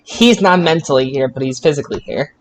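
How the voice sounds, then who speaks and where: A man with a slightly high voice hosts a podcast. He talks casually, in the style of a sports recap between co-hosts. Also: a little fast, low-quality recording. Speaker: podcast host. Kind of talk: casual wrestling show recap.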